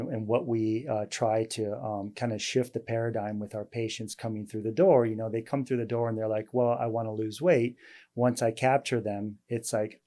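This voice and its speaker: A male doctor hosting an interview, talking in a halting way with many fillers and restarts. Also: medium pitch, dark tone. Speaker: host, weight loss doctor. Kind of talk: casual interview chat.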